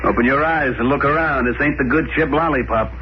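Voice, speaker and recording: An actor in American 1950s radio drama playing a bitter, sly older laborer stranded on Mars, talking in a colloquial, needling way. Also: male voice, mid-range voice, fairly quick, background noise, close to an everyday voice. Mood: menacing, gloating. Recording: muffled old radio recording, loud music.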